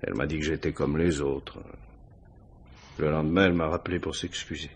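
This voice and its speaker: An actor playing an anxious middle-aged married man, husky and halting, confessing to a police inspector in a 1950s-style French radio crime drama. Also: extremely low pitch, steady tone, slight background noise. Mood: subdued, regretful. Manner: hesitant, self-justifying, halting.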